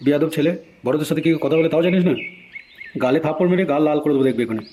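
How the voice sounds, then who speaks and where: A man acts out an irritable, threatening married man from a comedy cartoon. He scolds in a very fast stream, with a slightly high but soft-edged, mellow tone. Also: slight background noise, lightly acted. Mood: angry, scolding. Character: hot-tempered married neighbourhood man, foul-mouthed and threatening. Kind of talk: amateur comedy cartoon dialogue.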